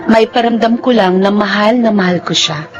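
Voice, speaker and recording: A woman's voice: medium pitch, normal speed, highs cut off, faint music behind, natural voice. A woman playing a devoted, long-suffering pregnant young girlfriend in an emotional Filipino radio drama. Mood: wistful narration. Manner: emotional, plaintive. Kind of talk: Filipino radio drama acting and first-person narration.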